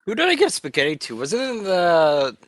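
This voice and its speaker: Male tabletop player with lively pitch. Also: a little fast, dry recording. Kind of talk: casual roleplay narration.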